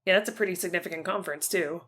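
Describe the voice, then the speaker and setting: Female podcast host, crisp forward tone in a lower register, casual, tentative questioning with frequent rewording. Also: a bit bright, a little hiss or hum. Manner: tentative, halting, rephrasing questions. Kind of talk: casual interview.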